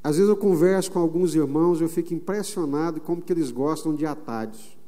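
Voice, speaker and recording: A Brazilian man preaching a sermon as pastor, emphatic, stretching some phrases slowly. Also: on the high side, mellow. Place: church sanctuary. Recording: long reverb of a church hall.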